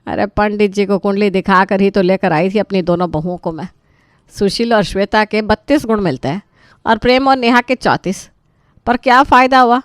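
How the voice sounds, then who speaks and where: A woman's voice: mid-range voice, moderate pace, dry recording, studio quality, lightly acted. A woman voicing a weary elderly mother-in-law in a cartoon story, grumbling with dramatic self-pity. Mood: weary, aggrieved. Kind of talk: animated moral story dialogue.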